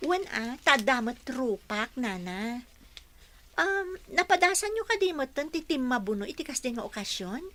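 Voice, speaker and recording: A woman's voice: high-pitched, sing-song, normal speed, a bit bright. A woman acting as a polite, dutiful young housekeeper and daughter in a radio drama. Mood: earnest. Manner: polite, deferential. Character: dutiful young housekeeper, devoted daughter, modest but plucky.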